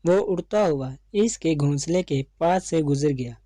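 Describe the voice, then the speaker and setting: A woman's voice: low-pitched, unhurried, warm tone, no echo, studio quality. A woman narrating a scripted children's story as its storyteller.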